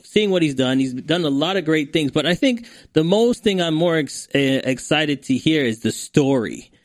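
A man hosting a podcast, enthusiastic and excitable, often repeating words as he hypes his guest. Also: a little high, dry recording. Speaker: podcast host. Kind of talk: casual interview hosting.

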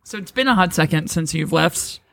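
Female podcast co-host, low voice, playful banter, dry close mic. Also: animated, gravelly, dry recording. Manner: playful, laughs often, quick asides. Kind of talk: casual chat.

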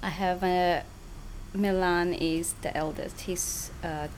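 A woman's voice: on the low side, fairly even intonation, slow, slight background noise. A woman, originally from the Philippines, chatting as a podcast guest and often restarting her sentences. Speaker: guest, small business owner. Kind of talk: casual interview chat.

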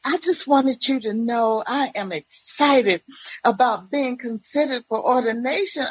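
Woman playing a giddy, high-pitched gushing ex-wife. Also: rough recording. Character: giddy, breathy, delusional ex-wife on the phone.

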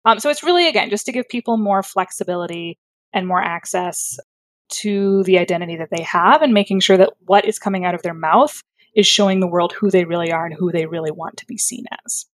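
A woman's voice: lowish voice, brisk, no room sound. A woman speech therapist explaining her work in a thoughtful, articulate way, with fillers and self-corrections. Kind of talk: conversational explanation.

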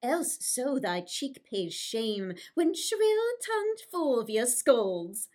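A woman's voice: a little high, very animated, drawn-out words, a little mellow, exaggerated voice. A woman playing an imperious, teasing queen in theatrical Shakespearean verse, mocking and declamatory. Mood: mocking, commanding. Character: imperious, teasing Egyptian queen taunting her lover.

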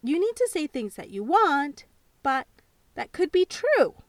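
A sensible, slightly bossy young girl lays down the rules in a high, lively voice, as a woman plays her. She speaks slowly and matter-of-factly in a storybook tale. The recording is dry and a little distant. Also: no room sound. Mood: insistent.

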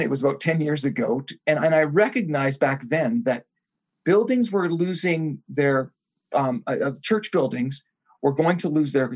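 A man's voice: a little high, slightly rough. A man who is a pastor, guest on a podcast, talking earnestly and reflectively about his calling. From grew up in Thailand. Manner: earnest, reflective, with some restarts. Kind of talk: interview guest answering.

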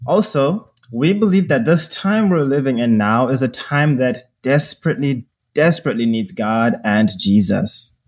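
A man's voice: medium pitch, animated, moderate pace, a little mellow. A man from Zimbabwe hosting a podcast, sharing his faith in casual, earnest talk. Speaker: podcast host.

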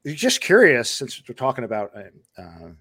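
A man's voice: mid-range voice, huge pitch swings, moderate pace, a bit bright, breathy edge. A man hosting an interview podcast, asking long, winding, curious questions. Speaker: podcast host. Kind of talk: casual interview.

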